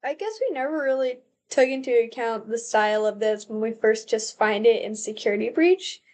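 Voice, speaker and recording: Woman, young adult, American accent, chatty, rambling, thinks aloud, slightly high pitch. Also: expressive, normal speed, a little mellow. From born in Canada, raised in the US. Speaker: video reactor, college student. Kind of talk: casual reaction commentary.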